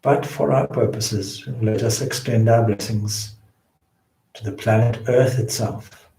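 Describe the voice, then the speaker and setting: A man leading a guided meditation as a swami, with measured, solemn phrasing and frequent pauses. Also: on the low side, steady tone, dark tone. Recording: roomy, echoing indoor sound.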